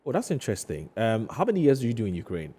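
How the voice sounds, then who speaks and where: A man with a Ghanaian accent hosting a studio interview, phrasing things haltingly and often repeating himself. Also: fairly low pitch, huge pitch swings, dark tone, breathy edge. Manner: hesitant phrasing, repeats questions back. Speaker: TV talk show host.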